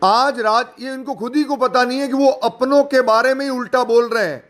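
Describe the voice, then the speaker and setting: A man's voice: very high voice, steady tone, normal speed, a bit bright. A man giving an informal spoken rebuttal, sarcastic and indignant, mocking his opponents and firing rhetorical questions. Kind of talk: informal spoken rebuttal, addressing listeners directly.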